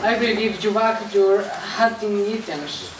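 A woman's voice: medium pitch, a little slow, distant mic, lightly acted. Girl doing sorry fisherman brother, puppet show, spanish accent, noisy echoey room. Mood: apologetic. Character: repentant fisherman, angry brother turned apologetic. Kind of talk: scripted puppet-show dialogue.

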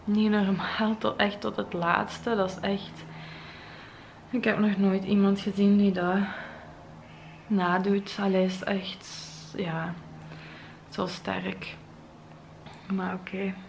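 A young woman with a Flemish accent, talking to camera in a vlog after crying, her voice soft, breathy and trailing into whispers. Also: medium pitch, level tone, takes it slowly, pauses often, a little hiss or hum. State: tearful, voice heavy from crying. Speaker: vlogger. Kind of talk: emotional vlog monologue to camera. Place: bright modern home interior. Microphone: camera at close range.

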